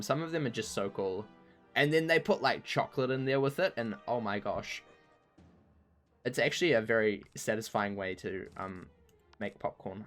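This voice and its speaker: A man with a croaky voice chatting casually while streaming a game, rambling and poking fun at himself. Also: mid-range voice, a bit bright. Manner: rambling and self-mocking, laughs easily. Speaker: streamer playing an online game. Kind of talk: casual chat while gaming.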